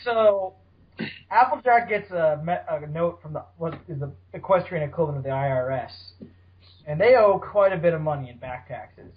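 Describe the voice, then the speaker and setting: A man's voice: on the high side, animated, moderate pace. A man hosting a casual fan fiction chat podcast, with a teasing, playfully profane manner. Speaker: podcast host. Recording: dull, lo-fi audio.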